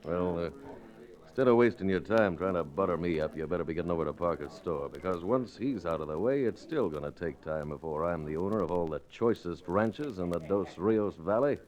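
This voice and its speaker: A scheming American outlaw chief. The voice sits deep, with a sly and commanding delivery in the manner of old radio Westerns. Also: male voice, animated, brisk, darkish tone. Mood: sly, menacing. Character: scheming, cold-blooded male outlaw boss.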